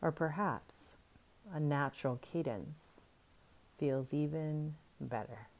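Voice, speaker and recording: A woman guiding a breathing meditation in soft, soothing, unhurried instructions. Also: low voice. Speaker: meditation guide.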